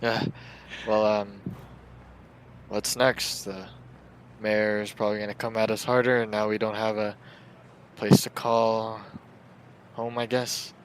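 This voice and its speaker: Flat, hesitant young crime nephew, played by a man. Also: on the low side, measured pace, pauses often, slight background noise, close to an everyday voice, poor audio. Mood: subdued. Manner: hesitant, casual. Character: young male getaway driver, subdued crime-family nephew.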